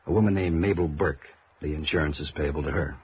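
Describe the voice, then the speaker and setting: A man's voice: a bass voice, fast talker, husky, no room sound, full character voice. A man playing an earnest, hard-working middle-aged American claims adjuster in a 1950s radio drama. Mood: concerned briefing. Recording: dull, noisy old radio recording.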